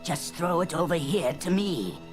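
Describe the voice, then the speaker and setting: An actress playing a cold, gloating villain in a space opera audio drama, with a menacing, sneering delivery. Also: female voice, sits low, big pitch swings, a little slow, over music. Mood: smug, menacing. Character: cold gloating villain Cipher, outlaw boss with a blaster.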